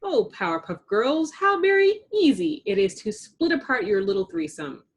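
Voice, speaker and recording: A woman voicing a gloating, scheming demon villain from a children's storybook, with mocking, drawn-out delivery. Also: a little high, sing-song.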